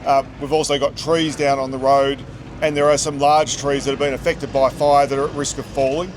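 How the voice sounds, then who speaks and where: An Australian man with an ordinary mid-range voice speaks in a plain, measured way as he explains at an outdoor briefing. Clear vehicle and outdoor noise surrounds him. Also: mid-range voice, flat delivery. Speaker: police inspector. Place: outdoors, near firefighting operations. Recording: outdoor recording with loud background noise.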